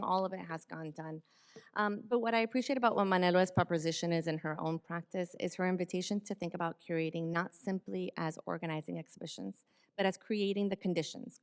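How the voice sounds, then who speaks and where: Woman event host, slightly low pitch, smooth clear tone, measured articulate phrasing, formal prepared introduction.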